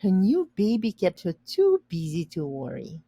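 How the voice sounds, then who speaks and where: A woman reading a children's story aloud and pausing to explain words simply for learners. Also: mid-range voice, wildly swooping pitch, warm tone, breathy edge, no echo.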